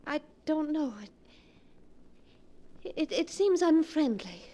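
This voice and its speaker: An actress playing an uneasy, devoted young wife in a 1940s radio drama, speaking in an earnest, careful, dramatic way. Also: female voice, high-pitched, very animated, takes it slowly, dark tone, full character voice. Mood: hesitant, puzzled.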